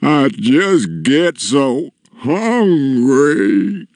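A man voicing a huge, grimy storybook giant, menacing yet lonely, with slow, drawn-out, ponderous speech. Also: mid-range voice, expressive, creaky, dry recording, voice pushed up. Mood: sorrowful, pleading. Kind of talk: storybook character voice.

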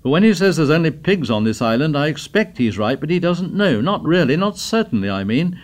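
A man's voice: fairly high pitch, very animated, brisk, pitched above the performer's own voice. A man reading aloud, voicing an earnest young schoolboy who rambles and hedges as he talks. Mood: eager. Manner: rambling, hedging, eager. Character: chattering earnest schoolboy Morris.

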